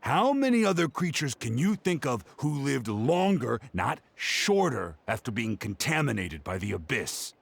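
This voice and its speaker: An excitable young male scholar from a video game. His mid-pitched voice swings up and down with a crackly edge as he earnestly presses his point. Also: unhurried, gravelly, hardly any pauses, breathy edge, heavily acted. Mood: insistent. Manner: earnest, insistent, explaining.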